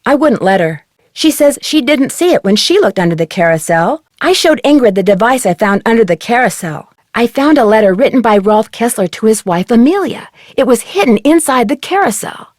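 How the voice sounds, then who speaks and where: A woman's voice: on the high side, lively intonation, brisk, darkish tone, no room sound, studio quality. A woman playing an earnest, inquisitive teenage girl detective in a video game, chatting in articulate, conversational lines. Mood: friendly, chatty, then intrigued, serious.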